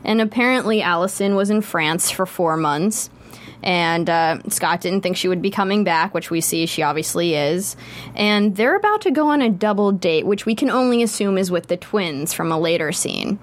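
A woman's voice: on the low side, expressive, normal speed. A woman with an American accent hosting a conversational recap show, steering the discussion and asking guests questions. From Pittsburgh, United States. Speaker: host.

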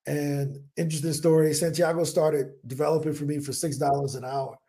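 A man in his forties from the United States, a business owner telling stories on a podcast in an energetic, casual way, acting out his own past thoughts. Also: fairly high pitch, a little fast. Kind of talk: casual interview talk. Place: home in Colombia.

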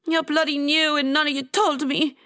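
A woman's voice: sits high, sing-song, a little slow, pitched above the performer's own voice. A woman voicing a grieving, fiery young-spirited pirate-town woman in an audiobook, with coarse, indignant dialect speech. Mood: furious, grieving.

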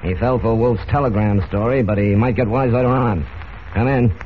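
A man's voice: mid-range voice, normal speed, natural voice. An actor playing a jittery, crooked male radio operator henchman with slangy talk in an old radio drama. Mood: uneasy. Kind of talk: 1940s radio drama acting. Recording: dull, noisy old radio recording.

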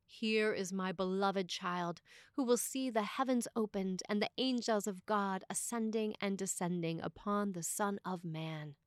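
A woman delivers a scripted sermon as a priest would from a prepared text. Her pitch sits in the middle and she speaks deliberately, pausing for emphasis. The recording is dry, with hardly any room sound. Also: no echo.

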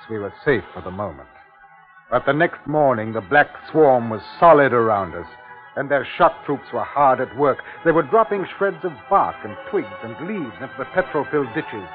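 An actor playing an anxious, earnest commissioner who narrates a 1950s radio drama in a grave, measured, theatrical way. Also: male voice, medium pitch, big pitch swings, natural voice. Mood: grave, ominous. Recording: tinny 1950s radio recording with music.

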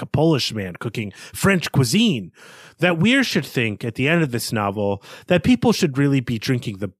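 A man co-hosts a literary podcast in a mid-pitched voice that swings up and down a lot. He muses about the book and trails off into quieter asides. The recording is dry and close. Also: dry recording. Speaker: podcast co-host. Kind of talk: casual book discussion.

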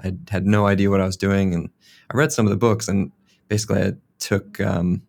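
Very low-voiced American man, casual. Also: level tone, a little fast, creaky, a little mellow. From United States. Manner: casual, uses many fillers like "like" and "kind of". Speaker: guest sports scientist. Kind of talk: relaxed interview answers.

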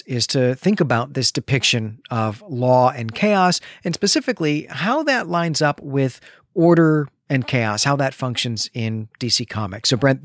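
A male podcast co-host in an animated, conversational book discussion. His voice sits at a middle pitch and he leans on key words with enthusiasm. The sound is dry and close, with very little room. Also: no room sound.

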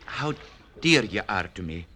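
Smitten young suitor, bashful, earnest, lively intonation, classic radio play, clearly noisy clicking recording. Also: male voice, lowish voice, a little slow, heavily acted. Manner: excitable, earnest. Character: eager, bashful, lovestruck young gentleman suitor. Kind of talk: old-time radio drama acting.

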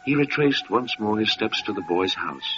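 A man's voice: on the low side, animated, moderate pace, a bit bright. A man narrating a classic radio drama in a solemn, scripted storytelling manner. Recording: dull, noisy old radio recording.

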